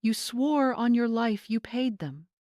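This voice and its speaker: A woman's voice: fairly high pitch, expressive, unhurried, darkish tone, no room sound, studio quality, voice pushed up. A woman voicing an earnest young groom in a dramatic story, sounding wounded and disbelieving. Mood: shaken, hurt.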